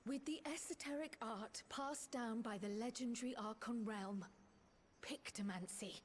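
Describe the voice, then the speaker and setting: A woman's voice: on the high side, a little slow, a little hiss or hum, lightly acted. A woman voicing a polite, determined young scholar and magic user in a video game, speaking in a formal, articulate, earnest way. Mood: earnest, resolute. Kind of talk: video game cutscene acting.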